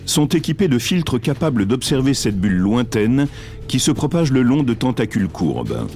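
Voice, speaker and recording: A man's voice: mid-range voice, sing-song, normal speed, warm tone. A man reading scripted narration for a science documentary. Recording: narration over a music bed. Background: clear background music.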